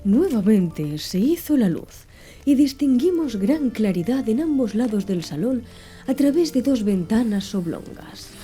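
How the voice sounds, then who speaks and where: A woman narrating an audiobook in a soft, slow, hushed ASMR style. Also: fairly high pitch, big pitch swings. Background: faint background music.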